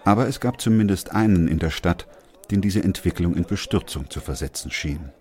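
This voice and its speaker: An actor playing a composed, middle-aged doctor who narrates a plague chronicle in a radio drama, calm and sober. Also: male voice, low voice, a little fast, a faint crowd, close to an everyday voice. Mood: sober narration.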